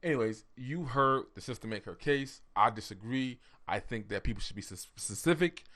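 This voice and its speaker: A man's voice: medium pitch, expressive, moderate pace, pushed and bright. A man in his middle register talks straight to his audience, blunt and sure of his opinions. His delivery is plain and direct, without polish. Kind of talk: commentary.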